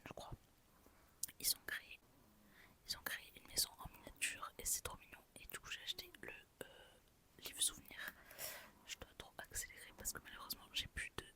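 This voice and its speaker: A young woman whispering softly into her phone, chatting through a haul of books and souvenirs with a gushing, filler-heavy manner. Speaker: vlogger.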